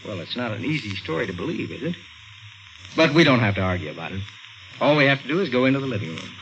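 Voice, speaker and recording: An actor playing a patient, skeptical police detective in a 1950s radio mystery, soothing and a little hesitant. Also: male voice, medium pitch, sing-song, quick pace, fairly bright tone. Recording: muffled old radio recording, faint noise.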